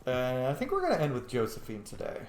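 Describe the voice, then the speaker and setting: A man's voice: medium pitch, huge pitch swings, fast, a little hiss or hum. A man hosting a gameplay video with casual, informal, chatty commentary. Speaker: gaming video host. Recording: roomy, echoey voice track.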